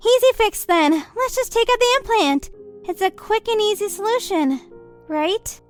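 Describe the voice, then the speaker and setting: A woman's voice: extremely high pitch, animated, moderate pace, faint music behind. A woman playing a haughty, impatient young vampire in a scripted roleplay, petulant and scornful.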